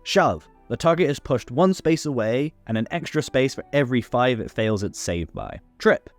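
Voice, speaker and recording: A man's voice: mid-range voice, big pitch swings, normal speed. A man hosting a tabletop game rules series, delivering scripted explainer narration in an instructional way. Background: background music.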